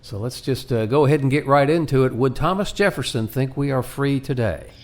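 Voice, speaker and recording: A man's voice: mid-range voice, a little fast, a little mellow. Echoey American male radio host. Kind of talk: radio host presenting, scripted story narration. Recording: echoey room sound.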